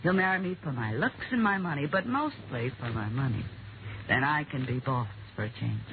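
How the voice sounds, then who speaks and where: An actress playing a bold, greedy, scheming widow in a 1950s radio detective drama, brazen and defiant. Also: female voice, very deep voice, animated, normal speed. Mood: airy, pleased. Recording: noisy, tinny 1950 radio broadcast.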